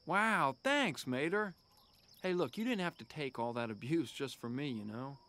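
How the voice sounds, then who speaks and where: A man's voice: fairly high pitch, animated, normal speed, sharp tone. A man voicing a confident, good-natured young race car in a video game, casual and wry, with an American accent. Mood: amused, grateful.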